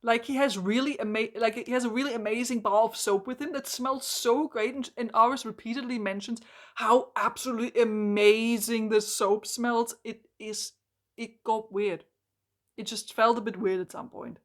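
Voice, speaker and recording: A hoarse woman reviewing a book in an exasperated, emphatic rant, full of "like" and restarts. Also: a little high, steady tone, moderate pace.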